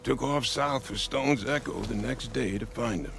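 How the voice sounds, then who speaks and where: A man's voice: mid-range voice, normal speed, vocal fry, a bit bright, faint music behind. An actor playing a blunt, folksy older man who grumbles about a reckless friend in a game. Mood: exasperated.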